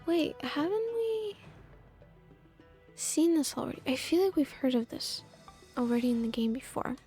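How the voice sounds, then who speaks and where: A woman's voice: high-pitched, big pitch swings, normal speed, warm tone, faint music behind. A woman streaming a game, reacting in casual, playful chat with exclamations and swearing.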